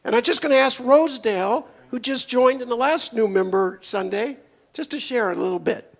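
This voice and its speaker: A hoarse man giving upbeat, chatty membership announcements at a church service. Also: very high-pitched, moderate pace. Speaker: membership speaker at a spiritual center.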